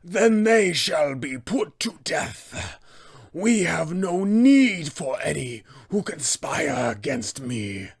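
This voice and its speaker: A man voicing an insane, imperious old dwarf tyrant for a tabletop game, raspy and gravelly, with a grandiose, menacing delivery. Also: a little high, very animated, pitched above the performer's own voice, heavily acted. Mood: coldly merciless. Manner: slow, grandiose, menacing, theatrical. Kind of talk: villain monologue for a tabletop game.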